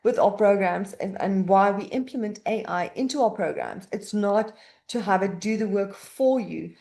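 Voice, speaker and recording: Woman webinar host, mellow tone, chatty with many fillers and restarts, on a computer mic. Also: mid-range voice, moderate pace, gravelly. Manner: conversational, frequent fillers and self-corrections. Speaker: university webinar presenter. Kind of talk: presenting a live webinar. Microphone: computer mic on a video call.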